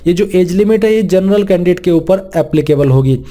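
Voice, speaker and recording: A man's voice: sits high, a little fast, dark tone. A man presenting an informal instructional walkthrough, reading formal text and restating it plainly. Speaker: presenter of a job vacancy explainer.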